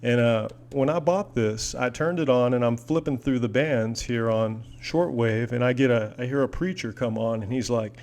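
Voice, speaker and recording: A man's voice: medium pitch, normal speed, few pauses, a little mellow. An American man chatting casually as a hobbyist vlogger, rambling along in a folksy, enthusiastic manner. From United States. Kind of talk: casual product chat.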